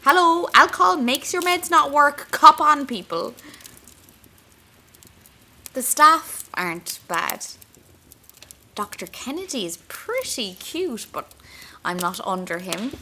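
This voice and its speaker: A woman's voice: high voice, lively intonation, slow pace, pauses often, pushed and bright, full character voice. A woman with an Irish accent playing an anxious, wry young intern confiding in her diary in an audio drama. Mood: wry, restless. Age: young adult. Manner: intimate, wry, self-mocking.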